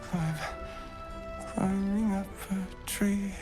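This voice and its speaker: A dazed, childlike video game figure. The words come extremely slowly in a hummed singsong, with music behind. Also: female voice, mid-range voice, steady tone. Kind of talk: sung humming in video game.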